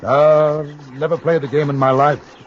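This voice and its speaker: An actor playing a polite, reflective English newspaper correspondent with an English accent, in a measured, literate 1950s radio drama style. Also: male voice, on the high side, little pitch movement, slight background noise, lightly acted. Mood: polite, curious. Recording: dull old radio recording.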